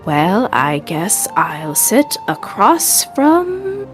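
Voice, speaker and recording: A woman's voice: mid-range voice, wildly swooping pitch, extremely slow, music in the background, heavily acted. A woman voicing a prickly, awkward adventurer in a tabletop game, stiff and dry. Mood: sulky.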